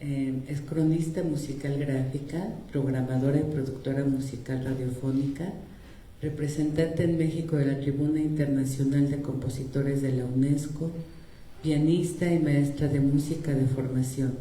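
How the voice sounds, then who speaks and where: A man's voice: mid-range voice, steady tone, moderate pace, dark tone. A man with a Mexican Spanish accent moderating a conference and introducing the next speaker, with a somewhat hesitant delivery. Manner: hesitant, with fillers and restarts. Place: lecture hall. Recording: echoey hall.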